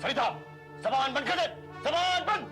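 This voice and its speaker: A high-pitched, blustering army major, barks orders and sneers like a domineering sitcom husband. Loud music plays underneath him. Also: male voice, expressive, a little fast. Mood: outraged. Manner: barking orders, mocking, pompous. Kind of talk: broad Indian TV sitcom acting.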